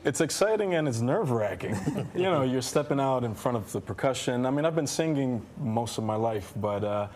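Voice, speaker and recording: A man's voice: medium pitch, expressive, a little fast, hardly any pauses, a little mellow. Man from the bronx, first language spanish, earnest thoughtful guest, small hesitations, faint noise. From the Bronx, New York. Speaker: guest singer. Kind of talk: casual chat. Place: TV studio.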